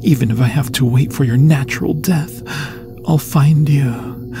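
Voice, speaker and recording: A man's voice: medium pitch, creaky, music in the background, voice pushed up. A man voicing an obsessive undead ghost lover in an ASMR fantasy roleplay, theatrical and solemn, menacing yet tenderly possessive. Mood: angry, vowing return. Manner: theatrical, slow, archaic solemn phrasing.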